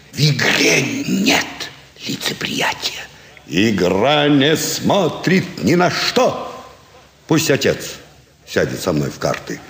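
A sly, self-assured card cheat in a classic stage play. His pitch swoops widely as he draws out his tale slowly, savouring every phrase. His voice rings through a long hall reverb. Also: fairly bright tone, exaggerated voice. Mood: grand, emphatic. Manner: theatrical, savouring, drawn-out storytelling with dramatic pauses. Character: sly, self-assured male card sharper relishing his own cunning. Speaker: actor in an audio play. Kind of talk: theatrical classic stage comedy dialogue, storytelling monologue.

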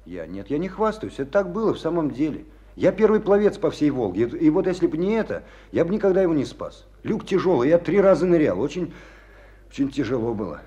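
A man acting in a radio play as a proud, earnest young officer cadet, halting and passionate. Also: a little high, big pitch swings, mellow, dull audio. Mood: proud, defensive. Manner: halting, earnest, swinging from subdued to fervent. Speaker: actor in a radio drama.

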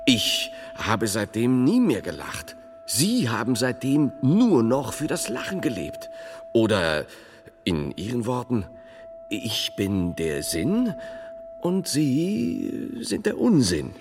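A solemn medieval theologian, wildly varying intonation. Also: male voice, medium pitch, huge pitch swings, full character voice. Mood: reasoning. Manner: solemn, formal, deliberate. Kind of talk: radio play acting. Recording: long reverb of a tunnel, music behind.

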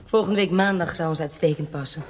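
An actress playing a bold, self-assured woman who poses as a baroness, in crisp, formal, commanding radio drama style. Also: sits low, brisk, darkish tone, in a large hall, slight background noise, natural voice. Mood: composed, businesslike. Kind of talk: historical radio drama acting.

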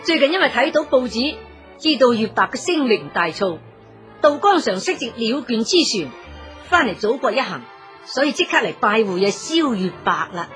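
A woman's voice: on the high side, sing-song, moderate pace, a bit bright, faint music behind. A woman with a Cantonese accent narrating a scripted story in a dramatic, colloquial storytelling manner.

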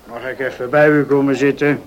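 An actor in a radio play as a chatty, concerned acquaintance, speaking in an earnest conversational way. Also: male voice, mid-range voice, steady tone, a little fast, lo-fi sound, natural voice. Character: chatty, concerned acquaintance bearing grim news.

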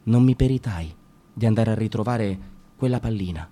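A man narrating a literary radio drama in Italian, expressive and swinging from hushed to loud. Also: on the low side, normal speed.

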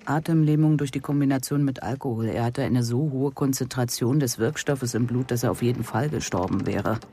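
An actor playing a matter-of-fact police colleague reporting findings in a radio crime drama, factual and unhurried. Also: male voice, medium pitch, dark tone, husky, lightly acted.

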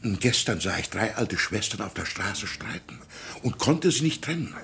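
An actor playing a frail, gentle old village pastor in a radio drama, anxious and musing, hesitant and sometimes sinking toward a whisper. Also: male voice, lowish voice, lively intonation, moderate pace, creaky, a little hiss or hum. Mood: troubled, reflective. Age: older. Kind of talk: German radio drama acting.

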